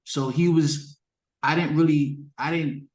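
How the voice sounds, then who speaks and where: A man's voice: on the high side, fairly even intonation, unhurried, darkish tone, roomy sound, low-quality recording. A man whose native language is Yoruba, a musician giving a casual interview in informal, slangy speech, often repeating himself.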